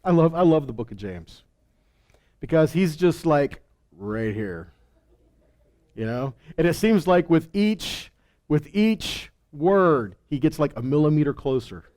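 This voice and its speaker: A man's voice: fairly high pitch, big pitch swings, a little slow, darkish tone. A man giving an informal Bible teaching, reading scripture and commenting in a conversational way with rhetorical questions and frequent check-ins.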